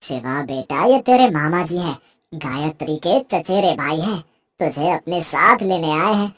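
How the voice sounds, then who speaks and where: An actor voicing a gentle old widowed village father in a cartoon moral story, mild, trusting and fatherly. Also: mid-range voice, expressive, a little slow. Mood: gently urging. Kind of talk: animated Hindi moral story acting.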